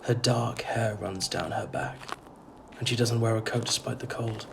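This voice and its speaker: A man's voice: medium pitch, fairly even intonation, normal speed, lightly acted. A man playing an uneasy traveller amid noisy ambience. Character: uneasy, polite male traveller narrating his strange homecoming. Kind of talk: audio drama narration and dialogue. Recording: clearly noisy ambience behind. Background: ambience noise.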